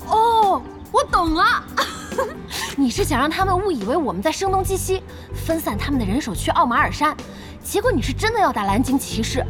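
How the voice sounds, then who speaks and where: A woman playing a curious, sharp-witted boss among gamers in a Mandarin audio drama. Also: very high voice, very animated, moderate pace. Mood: curious, catching on. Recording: music bed under the dialogue.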